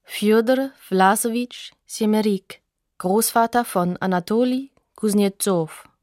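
A woman narrating a scripted documentary in a factual, measured manner. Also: medium pitch, darkish tone, no room sound, studio quality.

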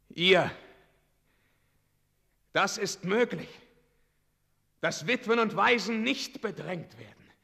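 An actor playing a solemn, impassioned orator in a radio play, theatrical, with long hall reverb around the voice. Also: male voice, fairly high pitch, vocal fry, full character voice. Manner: slow, swinging between shouts and whispers.

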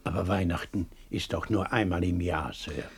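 An actor playing a timid, humble clerk in a German 1960s radio play, hesitant, polite and submissive, with a husky voice. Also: male voice, low-pitched, steady tone, moderate pace, exaggerated voice. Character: timid, humble male clerk, meek and deferential.

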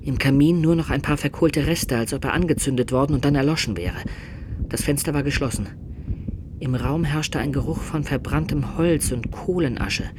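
An actor playing a grave son and storyteller, narrating in the scripted style of a radio play. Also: male voice, fairly high pitch, normal speed, noisy background, natural voice. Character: emperor's son, grave storyteller recounting a mysterious death. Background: music.

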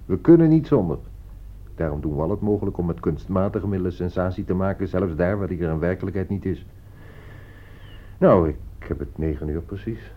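A philosophical, level-headed man in a radio play. The voice sits deep and sounds measured. The recording is somewhat muffled. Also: animated. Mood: musing.

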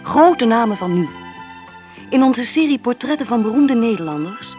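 A woman's voice: on the high side, animated, normal speed, darkish tone, dull audio. A woman making a formal scripted announcement as a radio announcer. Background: music.

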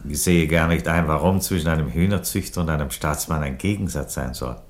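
An actor in a radio play playing a calm, reasonable Roman emperor, speaking with dry, philosophical courtesy. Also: male voice, rumbling low. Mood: calm reasoning. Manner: measured, philosophical, drily courteous. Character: calm, ironic, reasonable Roman emperor who loves chickens. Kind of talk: theatrical radio play dialogue.

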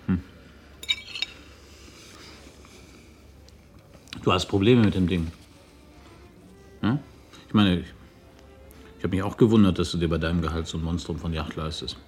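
A man's voice: deep voice, fairly even intonation, fairly quick, pauses often, darkish tone, faint music behind, natural voice. An actor playing a young man in a radio drama, a probing, slightly wounded friend who talks in a wry, conversational way.

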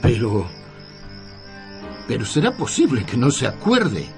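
An old Argentine writer, slow, expressive. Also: male voice, mid-range voice, vocal fry, over music, full character voice. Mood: bewildered. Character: old male scriptwriter, earnest, astonished, questioning narrator. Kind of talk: radio drama acting and storytelling.

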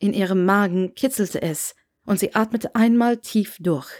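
A woman narrates a piece of fiction from a script. Her voice sits at an average pitch for a woman, in the style of an audiobook. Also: moderate pace, darkish tone.

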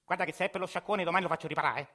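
An actor playing a cranky, foul-mouthed ninety-year-old grandfather with a Roman accent, cursing and shouting his rants in a radio play. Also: high voice, rapid-fire. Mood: grumbling. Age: 90s. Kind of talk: radio theatre acting.

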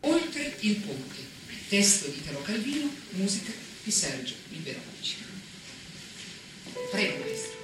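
A woman's voice: mid-range voice, sing-song, measured pace, pauses often. A woman giving a stage performance of a song with guitar accompaniment. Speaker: performer introducing and singing a song. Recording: very echoey, distant, with guitar music. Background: loud plucked guitar.

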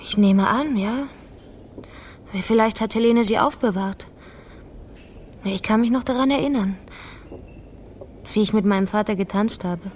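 A woman's voice: mid-range voice, measured pace, long pauses, darkish tone, natural voice. Guarded, resolute woman, played by an actress, rain-like noise behind. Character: guarded, resolute daughter of a politician, seeking family truth. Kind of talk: German radio crime drama acting.

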